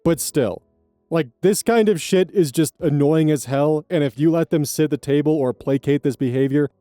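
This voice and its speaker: A man reading online stories aloud as host, adding blunt, profane commentary in a casual, animated way. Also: on the high side, normal speed, dry recording, studio quality, soft background music.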